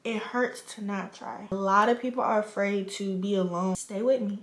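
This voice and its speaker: A woman's voice: mid-range voice, fairly even intonation, normal speed, echoey room. A woman in her twenties from the Virgin Islands, chatting frankly like a big sister while doing her makeup at a home vanity. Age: 20s. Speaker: vlogger.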